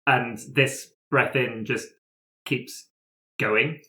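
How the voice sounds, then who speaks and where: A man playing a tabletop roleplaying game, describing character actions in a jokey, halting, improvised way. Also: mid-range voice, steady tone, pushed and bright. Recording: echoey room.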